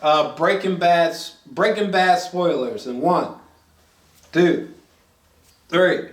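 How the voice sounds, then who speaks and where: A man chatting casually through a movie review, rambling and halting, with frequent swearing. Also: sits high, a little hiss or hum. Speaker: film review host. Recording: roomy echo, distant from mic.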